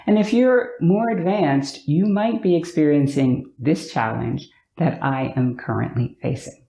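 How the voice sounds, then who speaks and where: A woman's voice: sits low, vocal fry. Upbeat American woman vlogger, echoey room. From Virginia, USA. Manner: upbeat, expressive, slows to stress words. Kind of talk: talking to camera.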